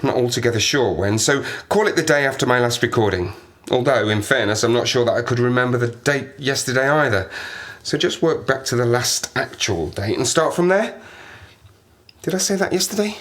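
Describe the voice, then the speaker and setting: A man's voice: medium pitch, animated, fairly quick, a bit bright, lightly acted. An actor playing a lonely, half-mad plane-crash survivor in an audio drama, rambling and self-mocking as he talks to himself. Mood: wistful, rambling. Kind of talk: audio drama monologue, diary-like.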